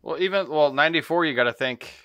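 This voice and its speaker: A man's voice: on the high side, fast, a bit bright. A man co-hosting a podcast, giving blunt opinions in casual conversation. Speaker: podcast co-host. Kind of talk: casual chat.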